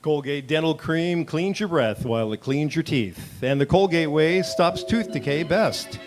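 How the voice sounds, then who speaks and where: A man's voice: a little high, unhurried, soft background music. A man reading a scripted advertisement as an announcer in 1940s radio style. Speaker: commercial announcer.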